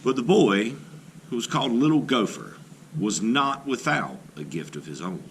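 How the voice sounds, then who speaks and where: A man reading a children's folk tale aloud like a teacher, with deliberate, expressive phrasing. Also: medium pitch, creaky, slight background noise. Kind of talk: storybook read-aloud narration.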